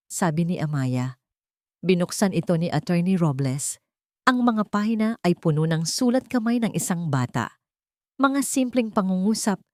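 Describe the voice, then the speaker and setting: A woman reading a scripted dramatic story as narrator, with deliberate, theatrical phrasing. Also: lowish voice, mellow, no echo, studio quality.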